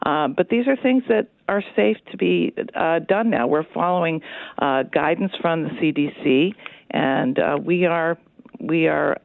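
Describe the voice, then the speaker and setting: A woman's voice comes through a thin telephone connection with little room sound. She speaks as a hospital executive being interviewed on radio. Her delivery is measured and explanatory, meant to reassure. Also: low-pitched, gravelly, no room sound. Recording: tinny, narrow phone line.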